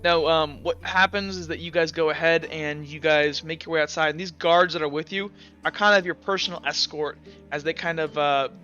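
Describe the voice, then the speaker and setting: A man running a tabletop game, improvising narration in a halting, casual way. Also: on the high side, fairly even intonation, bright, forceful tone, dull audio, soft background music. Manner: halting, casual, full of "like" and "kinda". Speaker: game master.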